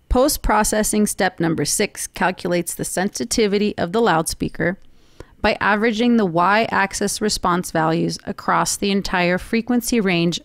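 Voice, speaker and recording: A woman narrating a scripted technical seminar in a measured, formal explaining manner. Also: mid-range voice, no room sound. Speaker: presenter of a technical training seminar.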